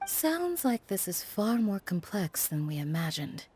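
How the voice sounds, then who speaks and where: A woman playing a gentle, earnest village guardian in a video game, caring and measured, with music behind. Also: mid-range voice, sing-song, a little hiss or hum. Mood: supportive.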